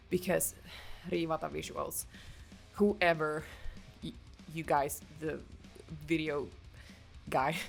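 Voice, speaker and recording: Low, gravelly-voiced Finland-Swedish woman. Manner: gushing, enthusiastic. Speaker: reaction video host. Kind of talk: casual reaction commentary.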